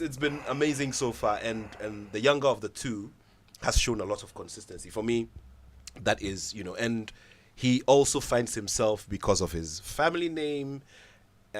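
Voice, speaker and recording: A Ghanaian man with a Ghanaian English accent, a sports radio panelist who tells stories in a chatty way and says "you know" often. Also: lowish voice. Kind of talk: studio sports discussion.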